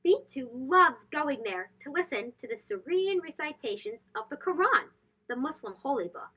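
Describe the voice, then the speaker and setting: A woman reading a picture book aloud to young children in an expressive, sing-song, emphatic way. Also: sits high, moderate pace. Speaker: children's read-aloud host. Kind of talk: animated storybook read-aloud for young children.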